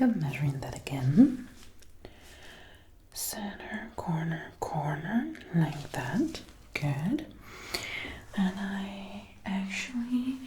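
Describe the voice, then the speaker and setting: Female ASMR artist, hushed and extremely unhurried, mellow low-ish tone, whispering close to the mic over faint hiss. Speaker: ASMR creator acting out a personal attention measuring roleplay. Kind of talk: ASMR, soft spoken mixed with whispering. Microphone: close binaural microphone, ear to ear.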